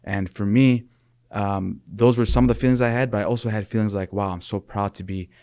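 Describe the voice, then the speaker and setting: Podcast guest: young man, deep monotone voice, thoughtful and earnest, dry close sound. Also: brisk, clean tone, no echo. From Michigan, USA. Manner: earnest, thoughtful, with many restarts and fillers. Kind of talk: reflective interview talk.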